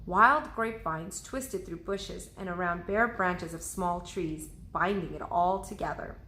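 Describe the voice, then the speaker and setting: A woman's voice: lowish voice, measured pace, pushed and bright, a little hiss or hum. A woman reading a children's storybook aloud in the manner of a teacher. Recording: roomy, echoing indoor sound.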